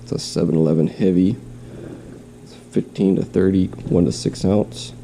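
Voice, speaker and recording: A man's voice: low voice, steady tone, normal speed, gravelly, warm tone, slight background noise. A man with a cold and a stuffy nose, talking in a nasal voice in a rambling, casual show-and-tell for a fishing vlog, recorded at home. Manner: rambling, with restarts. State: stuffy nose, slight cough. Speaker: fishing vlogger. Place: home, in quarantine.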